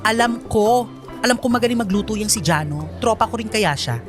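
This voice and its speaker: A woman playing a nosy young Filipino college friend, teasing and complaining with a very animated, swooping delivery. It is lively radio drama with faint music underneath. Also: mid-range voice, a little fast, lightly acted. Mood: teasing, suspicious. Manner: exclamatory, teasing.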